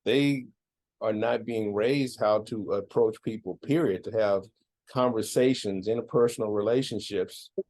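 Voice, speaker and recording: A man interviewing a guest, asking measured questions and often affirming with a quiet "right.". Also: lowish voice.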